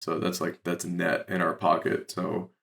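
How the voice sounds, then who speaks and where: Low, gravelly American man talking casually. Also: monotone, measured pace, a bit bright. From Detroit, Michigan, United States. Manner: rambling, heavy use of "like". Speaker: podcast guest, real estate investor. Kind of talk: casual conversational explaining.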